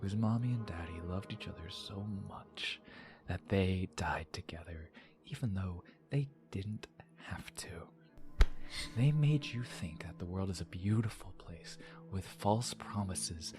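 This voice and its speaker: Sinister whispering schemer over music. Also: male voice, sits low. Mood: sinister, insinuating. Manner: taunting, deliberate, often dropping to a whisper. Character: menacing, condescending man, soft and manipulative schemer. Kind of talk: audio drama monologue.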